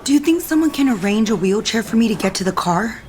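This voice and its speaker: An actress playing a defiant, scornful wife in an audio soap opera, with a sarcastic, biting delivery. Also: female voice, on the high side, lively intonation, fast talker, darkish tone, slight background noise, natural voice. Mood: composed. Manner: sarcastic, cutting.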